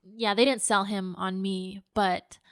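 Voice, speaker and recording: A woman's voice: mid-range voice, fairly even intonation, a little slow, fairly bright tone, clean tone, no room sound, studio quality. A woman hosting a podcast in a casual, conversational chat about films. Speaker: podcast host. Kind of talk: casual film discussion chat.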